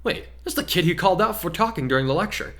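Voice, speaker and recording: A man's voice: high voice, expressive, fast, fairly bright tone, pitched above the performer's own voice. A man voicing a skeptical teenage boy with a casual, wry, muttering manner in a story reading. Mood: surprised.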